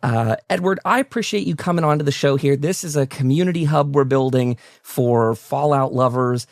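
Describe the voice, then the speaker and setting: A man's voice: mid-range voice, normal speed, no room sound, studio quality. A man hosting a casual interview show, talking in an enthusiastic, effusive way. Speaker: talk show host.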